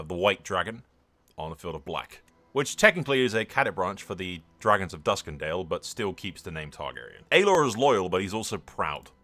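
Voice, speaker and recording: Animated man doing casual game commentary. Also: fairly low pitch. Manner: foul-mouthed, colloquial, jokey. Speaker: video game streamer narrating a playthrough. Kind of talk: casual, improvised game commentary with role-play storytelling.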